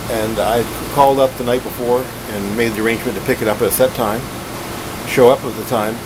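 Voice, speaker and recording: A man giving a casual hands-on gadget overview as a hobbyist host, plain-spoken, rambling and halting. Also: medium pitch. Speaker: radio collector and video host. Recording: steady hiss and hum behind voice.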